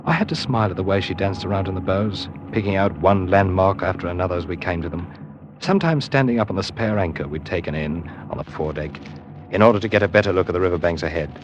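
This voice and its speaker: A man playing a watchful seaman who narrates a classic radio drama with deliberate, weighted pauses. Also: low voice, highs cut off, close to an everyday voice. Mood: wry, watchful. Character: weathered, watchful seaman recounting his voyages. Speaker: narrator and character actor. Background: music.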